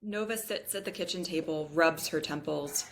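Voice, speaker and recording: A woman with a slightly low voice serves as narrator at a table read. She reads out stage directions as scripted narration. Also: moderate pace, fairly bright tone, poor audio.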